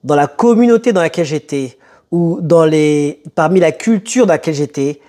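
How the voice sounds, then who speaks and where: A young man who grew up in France telling a personal story to camera in a conversational, emphatic way with dramatic pauses. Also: a little high, lively intonation, warm tone. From born in Italy, moved to France as a child. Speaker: motivational video host.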